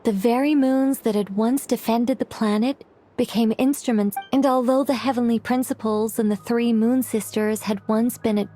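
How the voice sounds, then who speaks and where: Actress doing a composed scholarly game girl telling old lore, soft mellow voice, a bit high. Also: close to an everyday voice. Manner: measured, scholarly. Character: learned, composed young woman recounting ancient lore. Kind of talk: scripted game dialogue.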